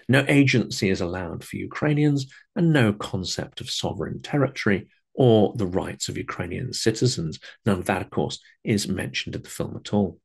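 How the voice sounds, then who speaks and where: A man reading scripted critical commentary in a measured, emphatic and disapproving manner. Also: lowish voice, gravelly, a little mellow, husky. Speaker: host and commentator.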